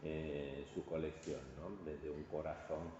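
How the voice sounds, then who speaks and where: A man with an accent from Spain gives an informal art history lecture, halting and conversational, often checking in with his listeners. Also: extremely low pitch, little pitch movement. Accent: Spanish from Spain. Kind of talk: informal illustrated lecture to an audience. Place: large reverberant indoor room. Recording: echoey hall, distant muffled sound, faint noise.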